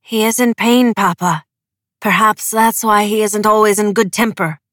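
Woman acting a firm young daughter, pitched up. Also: fairly even intonation, moderate pace, fairly bright tone, no echo, clean studio sound, natural voice. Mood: bristling. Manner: matter-of-fact, firm. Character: sensible, outspoken young woman, commander's daughter.

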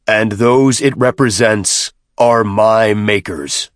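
Booming, implacable machine oracle, male narrator acting it. Slow, zealous, solemn delivery, dry sound. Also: no room sound. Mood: solemn resolve. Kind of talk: audiobook character voice.

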